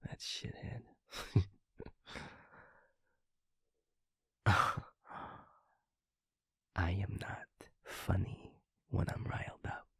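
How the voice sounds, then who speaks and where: A man playing a teasing, affectionate werewolf boyfriend in an intimate audio roleplay, with a breathy, husky voice and a murmuring delivery. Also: a bit bright, no echo, in a whisper, studio quality. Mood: amused, relieved. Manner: slow, murmuring, chuckling, playfully teasing. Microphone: close studio mic, dry.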